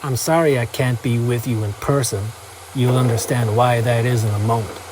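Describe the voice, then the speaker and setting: A man's voice: mid-range voice, steady tone, moderate pace, noisy background, natural voice. A man voicing a polite, formal billionaire space scientist in a motion comic. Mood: courteous. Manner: courteous, formal. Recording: music under the voice.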